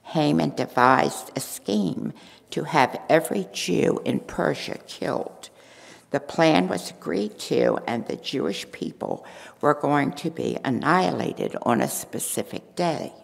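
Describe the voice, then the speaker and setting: An older woman reading as earnest Queen Esther, gravelly and slow. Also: sits low, expressive, heavily acted. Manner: reads steadily from a script, earnest. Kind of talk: first-person dramatic monologue read in church. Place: large church sanctuary. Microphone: stand mic at a lectern. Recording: reverberant church hall.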